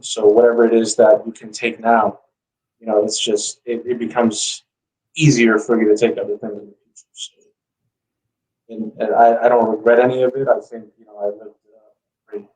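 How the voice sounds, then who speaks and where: A man's voice: fairly low pitch, flat delivery, moderate pace, pauses often, darkish tone, far from the mic, low-quality recording. A man telling his story as a guest in a casual interview, speaking informally with lots of "you know". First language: English.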